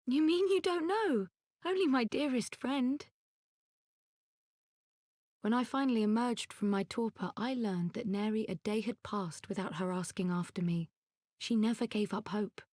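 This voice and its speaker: A woman's voice: fairly high pitch, a little slow, darkish tone, no room sound, clean studio sound. An actress playing a poised, loyal noblewoman in a fantasy game, speaking formally and earnestly. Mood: fond, determined. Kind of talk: fantasy video game cutscene acting.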